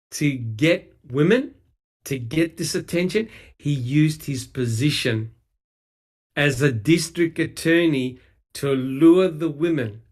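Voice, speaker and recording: His voice sits a little high for a man, and he talks heatedly and emphatically. He swears freely and acts out mocking quotes as he argues his point in a casual discussion. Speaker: podcast co-host. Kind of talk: casual true-crime discussion.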